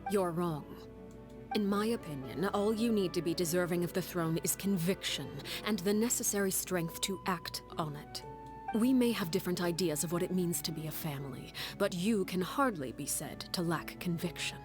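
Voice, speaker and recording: An actress voicing a cold, commanding woman who heads a household of orphans, speaking in a calm, measured, stern way in a video game. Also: fairly low pitch, lightly acted. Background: game score music.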